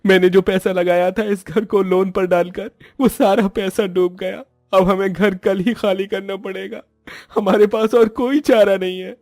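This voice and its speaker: A man's voice: high voice, steady tone, normal speed, a little mellow, no echo, studio quality, soft background music. A man voicing a caring, anxious young husband and father in a cartoon, earnest and emotive in manner. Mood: remorseful.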